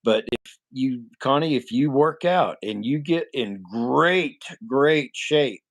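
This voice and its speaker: A man in his forties with a nasal voice and an ordinary middle pitch talks in a loose, rambling way to his livestream chat. He is blunt and swears freely. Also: animated, slow. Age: 40s. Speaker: livestream host answering chat. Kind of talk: casual live chat.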